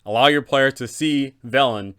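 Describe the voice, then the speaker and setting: A man's voice: mid-range voice, moderate pace, fairly bright tone, clean tone. A man talking as an informal game master host, explaining things in a conversational, instructional way.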